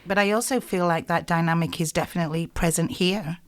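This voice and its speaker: A woman's voice: lowish voice, steady tone, brisk. A middle-aged woman with a Yorkshire accent, a singer-songwriter chatting in a radio interview with lots of "you know" and "like". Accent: Yorkshire English. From South Yorkshire, England.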